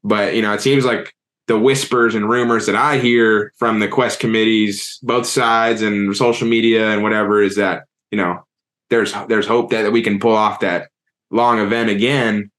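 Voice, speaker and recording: A man's voice: lowish voice, normal speed. Easygoing man, chatty and loose. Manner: loose and excited, lots of likes. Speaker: podcast host. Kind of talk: casual podcast conversation.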